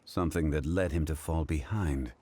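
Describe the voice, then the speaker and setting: Game lead: deep, stately man, measured delivery. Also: heavy background noise. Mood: calm. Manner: measured, formal, unhurried. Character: calm dignified ancient man, composed scholar.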